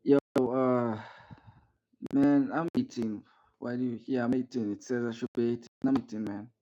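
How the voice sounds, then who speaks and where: A brushing-off young civilian who keeps saying "man", as a man puts him on with a flat, mellow voice. He talks in loose street slang. The sound is dull and lo-fi, like game voice chat. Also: mid-range voice, moderate pace, lightly acted. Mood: evasive. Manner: casual, evasive street talk, repeated "man". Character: pushy young male civilian wanting to enlist.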